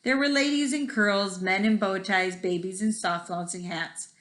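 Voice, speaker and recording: A woman's voice: mid-range voice, normal speed, fairly bright tone. A woman reading a children's picture book aloud expressively, with a rhythmic delivery that draws out repeated words. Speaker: read-aloud storyteller. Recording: echoey room.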